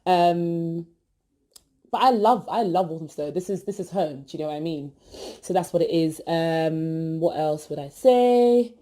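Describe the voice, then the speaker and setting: A young woman with a low, mellow voice, chatting her way through a podcast intro. She talks loosely and animatedly, full of asides and little fillers. Her voice stays deep and soft all the way through. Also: a little slow. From Britain, born in East London, family from Ghana. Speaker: podcast host. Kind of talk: casual self-introduction.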